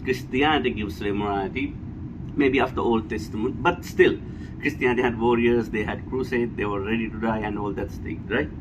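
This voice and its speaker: A man's voice: fairly low pitch, a little fast. A man with a Sri Lankan accent giving an unscripted, blunt opinion monologue, emphatic and repetitive, often checking for agreement. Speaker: vlogger giving personal opinion. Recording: clear steady background noise, air-conditioner hum.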